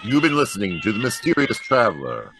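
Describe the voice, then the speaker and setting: A man's voice: lowish voice, huge pitch swings, brisk, over music. A man announcing in old-time radio sign-off style, showy and playful.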